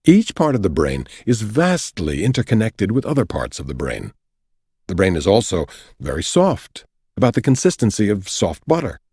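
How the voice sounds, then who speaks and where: A man reads nonfiction as an audiobook narrator, with a slightly low, husky voice. His delivery is deliberate and explanatory, moving widely up and down in pitch as he lays out each point. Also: darkish tone, no room sound, clean studio sound. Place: dry recording studio.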